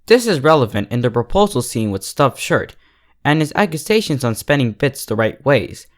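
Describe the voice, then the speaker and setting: Animated man reviewing stories for viewers. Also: medium pitch, normal speed. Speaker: host and reviewer of fan fiction readings. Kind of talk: scripted review addressed to online viewers.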